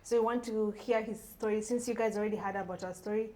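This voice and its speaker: A woman's voice: medium pitch, fairly even intonation, quick pace, dark tone, reverberant. A young woman who grew up in Kenya, co-hosting a podcast, introducing the episode in an earnest way with some fillers. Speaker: podcast co-host.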